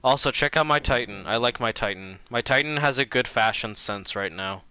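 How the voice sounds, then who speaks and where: Bright, clear-voiced man chatting on a livestream. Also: mid-range voice, a little fast, dry recording, low-quality recording. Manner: rambling, easily distracted, repeats himself. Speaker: game livestreamer. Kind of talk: casual livestream chat while gaming.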